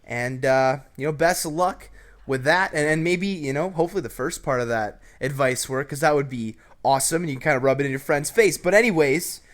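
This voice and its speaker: A man's voice: a little high, a little fast. A young man hosting a casual advice show, brash and joking, with some swearing. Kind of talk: casual advice commentary over gameplay.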